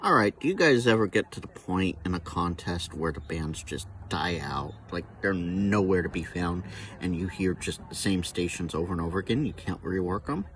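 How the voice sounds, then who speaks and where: A man's voice: sits low, animated, moderate pace, fairly bright tone, a little hiss or hum. An American man hosting a casual outdoor vlog as a radio operator, recorded on a camera in a park. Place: outdoors in a park by a baseball field. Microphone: camera without external microphone.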